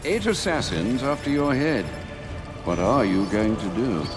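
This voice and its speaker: An actor playing an older, loyal British butler in a video game, formal and sardonic with a fretful streak. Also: male voice, mid-range voice, big pitch swings, measured pace, over music. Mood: concerned, incredulous. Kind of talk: video game cutscene acting.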